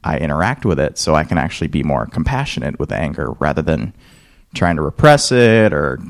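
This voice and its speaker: Low gravelly man, earnest group sharing. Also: very animated, brisk, dry recording, studio quality. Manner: earnest, rambling, self-correcting. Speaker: meditation group member.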